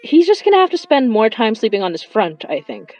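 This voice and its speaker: A woman playing a weary, affectionate young monster hunter confiding haltingly over a phone call in an audio drama. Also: on the high side, big pitch swings, a little mellow, faint music behind. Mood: worried, subdued. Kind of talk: intimate audio drama monologue.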